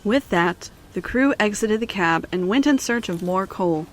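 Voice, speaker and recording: A woman's voice: medium pitch, animated, a little slow. A woman narrator reading a scripted children's story in storybook style.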